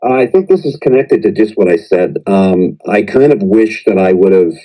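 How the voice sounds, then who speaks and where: A middle-aged man, a professor who teaches English, talking through his teaching experience in a relaxed podcast chat, often pausing and restarting his sentences. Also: lowish voice, poor audio. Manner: reflective, hesitant, many restarts and fillers. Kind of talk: conversational podcast interview.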